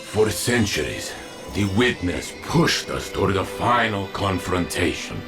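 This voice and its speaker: An actor playing a big-hearted, hopeful warrior in a video game, speaking with earnest weight. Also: male voice, deep voice, sing-song, unhurried, creaky, music in the background, full character voice. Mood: solemn, hopeful. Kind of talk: video game cutscene acting.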